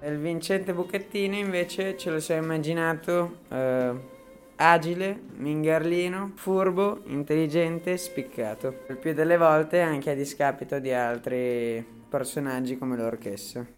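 A young radio workshop participant reading a description, listing words one by one with pauses. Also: medium pitch, fairly even intonation, faint music behind.

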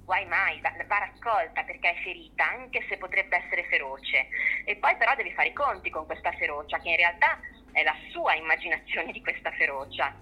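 A woman's voice: fairly low pitch, animated, normal speed, bright, forceful tone, husky, poor audio. A woman giving reflective, explanatory interview answers, repeating phrases as she works through her thought. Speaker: theatre artist being interviewed.

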